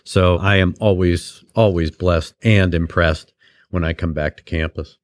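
A man's voice: low-pitched, fairly even intonation, a little slow, dry recording. A man co-hosting a podcast in a university radio studio, chatting genially and rambling with many fillers. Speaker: podcast co-host. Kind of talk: casual podcast chat.

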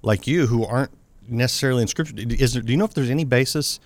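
A man's voice: medium pitch, very fast, no echo. A man hosting an interview, introducing a guest and asking questions in a friendly, encouraging way. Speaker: interviewer host.

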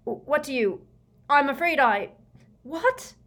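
A woman's voice: fairly high pitch, very animated, slow pace, voice pushed up, full character voice. Woman as a spluttering, indignant male Prime Minister. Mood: shocked.

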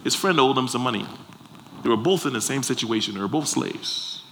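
A man's voice: medium pitch, animated, fairly quick, fairly bright tone. Emphatic male preacher, noisy live recording. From Liberia, West Africa. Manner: emphatic, rhetorical questions, repeats phrases for effect. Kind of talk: sermon to a congregation. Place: live before a congregation. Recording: clear background noise, live room ambience.

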